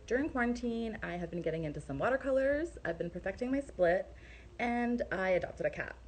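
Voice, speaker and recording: A woman's voice: mid-range voice, expressive, a little fast, slight background noise. A woman from Russia, a dating-show contestant on a video call from home, talking in an easygoing, frank way. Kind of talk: casual chat. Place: home in a city.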